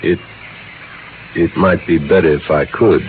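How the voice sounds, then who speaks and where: Male reluctant swamp guide: very low, formal, careful delivery, grave and humble, on a noisy vintage radio drama recording. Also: fairly even intonation, unhurried, phone-quality sound. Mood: grave warning. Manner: formal, careful phrasing without contractions. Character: soft-spoken, reluctant swamp guide and father, grave, cautious. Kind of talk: 1950s radio drama acting.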